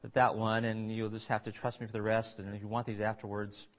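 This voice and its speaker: A man preaching a conversational sermon, pausing for asides and restarting phrases as he works through scripture. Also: lowish voice, little pitch movement. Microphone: recorded on tape in a church.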